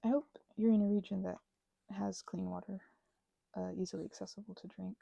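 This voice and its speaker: Female ASMR rambler, husky and dark-toned, earnest, wandering delivery with plenty of self-correction, close-miked. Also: mid-range voice, steady tone, fairly quick, no echo, lo-fi sound. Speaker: host of a craft ramble channel. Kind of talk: unscripted ASMR craft ramble.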